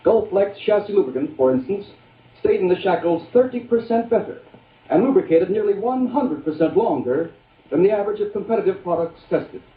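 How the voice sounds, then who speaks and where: A man's voice: high voice, animated, a little fast. A man reading technical claims in a clipped manner as an announcer in an old-time radio commercial. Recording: tinny, echoey vintage radio recording.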